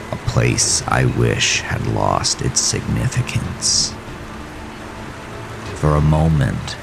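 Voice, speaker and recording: A man narrating a first-person horror story in a deliberate, suspenseful, dramatic way with a gravelly voice. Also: very deep voice. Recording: rain and storm noise behind voice. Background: rain, storm ambience.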